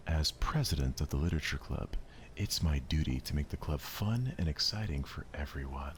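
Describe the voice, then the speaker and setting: A man's voice: very deep voice, lively intonation, moderate pace, a little hiss or hum. A man voicing a confident, friendly teenage girl who leads a school club, with an encouraging, assured manner.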